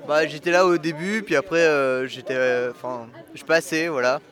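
A man in a casual street interview, talking haltingly about why he comes to the gathering. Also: on the high side, steady tone. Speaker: participant interviewed. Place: outdoor city square. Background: crowd.

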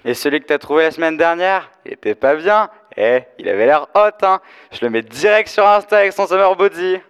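A flamboyant, fashion-mad gossip in a comic audio drama. His high voice stretches slangy exclamations theatrically. It echoes around a large hall. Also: lively intonation, fairly bright tone, clean tone. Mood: encouraging. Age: teenager or young adult. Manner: camp, theatrical, drawn-out exclamations. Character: gossipy, flamboyant, fashion-obsessed DJ best friend. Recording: echoey hall, live stage performance.

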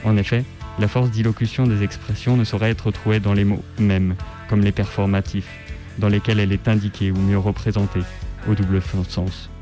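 A man reading a written text aloud on the radio in a measured, articulate, declamatory way. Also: on the low side, flat delivery, mellow, faint music behind. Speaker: radio reader. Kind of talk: scripted literary reading aloud.

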